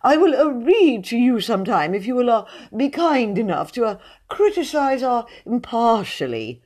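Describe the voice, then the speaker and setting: Woman doing a storybook gentleman poet, high-pitched, affected, pompous, halting, lively swooping pitch. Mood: pompous, gushing. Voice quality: high-pitched, affected, hesitant with drawn-out ums. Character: pompous high-voiced young man, sanctimonious poet.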